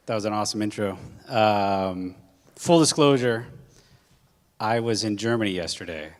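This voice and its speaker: Man from Hawaii, low voice, casual guest-speaker talk full of fillers, jet-lagged, lingering hall reverb. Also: moderate pace. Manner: conversational, with many filler ums and uhs. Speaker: guest speaker, corporate general manager. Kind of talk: casual public talk to students.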